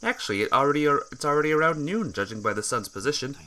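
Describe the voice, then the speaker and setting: A playthrough host reading a visual novel aloud as expressive, dramatic scripted narration. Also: medium pitch, rapid-fire. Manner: dramatic reading, acts out dialogue.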